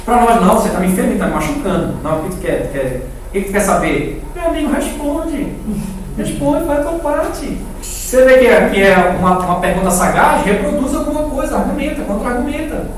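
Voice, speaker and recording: Echoey, high-pitched Brazilian man teaching class. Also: lively intonation, brisk. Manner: didactic, conversational, repeats words for emphasis and puts questions to the class. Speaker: teacher of a church preaching class. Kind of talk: informal classroom lecture. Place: echoing indoor room, live class. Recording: very echoey room, distant mic, faint noise.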